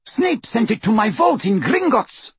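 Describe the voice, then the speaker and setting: A ruthless dark witch from a storybook, performed by a man. The voice is pitched high and very husky, leaping about as it snaps out imperious, frantic orders with hissing menace. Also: big pitch swings, measured pace, no echo, full character voice. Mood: alarmed, hissing. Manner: shrill, imperious, screaming and hissing.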